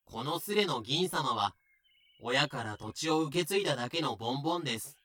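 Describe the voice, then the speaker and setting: A man's voice: medium pitch, expressive, moderate pace, pushed and bright. A man reading scripted explanatory narration as the narrator of an audio drama.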